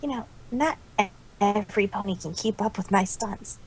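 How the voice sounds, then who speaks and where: A woman's voice: medium pitch, moderate pace, lightly acted, rough recording. A woman voicing a brash, cocky young female cartoon pegasus, slangy and challenging, in amateur fan-fiction style. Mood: brash, encouraging.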